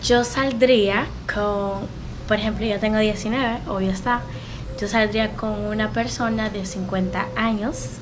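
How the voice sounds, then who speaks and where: A woman's voice: mid-range voice, fairly even intonation, a little slow. A young Dominican woman answering interview questions outdoors, frank and matter-of-fact. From Dominican Republic. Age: young adult. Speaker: interviewee, massage business owner. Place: outdoors on a patio. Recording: background noise.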